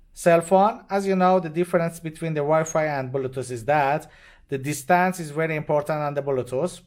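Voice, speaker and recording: A man with a medium-pitched voice demonstrates a product hands-on. He is a non-native English speaker and explains step by step, unscripted. His phrases are short and simple, with a halting flow. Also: darkish tone, clean tone. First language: not English. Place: indoors at a demo bench with devices.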